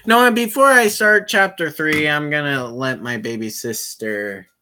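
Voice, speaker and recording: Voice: medium pitch, a little slow, bright, forceful tone, a little hiss or hum. A casual game streamer with an informal, sweary way of talking, chatting live and reading visual novel dialogue aloud.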